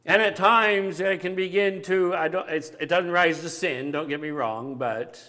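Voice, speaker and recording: A man preaching a sermon with a big voice that grows animated at times. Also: high voice, a little slow, long reverb tail. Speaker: pastor.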